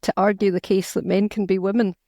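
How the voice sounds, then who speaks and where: Earnest Scottish woman with gravelly fry. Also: medium pitch, level tone, fairly quick, dark tone, no room sound, studio quality. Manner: earnest, emphatic, with restarts. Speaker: author and guest. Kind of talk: conversational interview.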